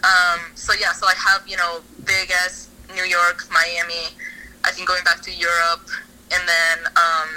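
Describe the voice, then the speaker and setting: Bright-voiced woman rambling casually. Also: fairly low pitch, completely flat delivery, measured pace, rough recording. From Argentina. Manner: rambling, lots of fillers and restarts. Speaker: interviewee, artist. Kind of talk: casual interview.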